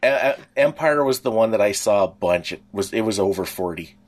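A man's voice: fairly low pitch, lively intonation, fairly quick. A middle-aged man co-hosting a podcast, chatting casually in a talkative, rambling, anecdotal way. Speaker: podcast co-host. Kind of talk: casual reminiscing chat.

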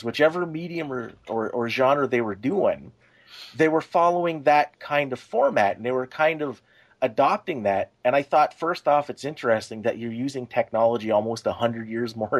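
A man with a medium-pitched voice hosts an informal podcast chat. He speaks casually and haltingly, circling around as he builds his questions. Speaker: podcast host.

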